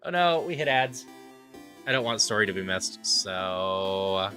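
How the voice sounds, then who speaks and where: A man's voice: fairly low pitch, expressive, measured pace, sharp tone, faint music behind. A man streaming a game, chatting casually in an easygoing, rambling way. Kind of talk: casual livestream chat.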